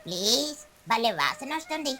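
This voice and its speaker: A woman's voice: on the high side, big pitch swings, moderate pace, bright, forceful tone. A woman voicing a hungry little child parrot who pleads eagerly in a cartoon story. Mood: pleading. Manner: eager, wheedling. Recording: music behind the voice.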